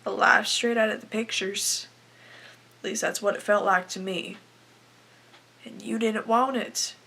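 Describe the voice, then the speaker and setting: A woman's voice: mid-range voice, fairly even intonation, unhurried, vocal fry, slightly rough, exaggerated voice. A teenage girl playing a desperate, bitter young farm wife in a confessional dramatic monologue. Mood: yearning, resentful. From Canada. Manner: confessional, anguished, swinging from hushed to bursting. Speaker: aspiring actress performing a film monologue.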